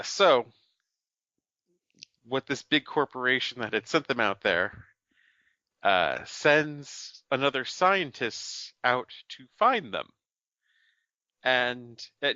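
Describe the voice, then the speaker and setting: A man's voice: medium pitch, bright, forceful tone, no room sound, dull audio. A man co-hosting a podcast, summarizing a film plot in casual chat, with many fillers and restarts. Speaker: podcast co-host.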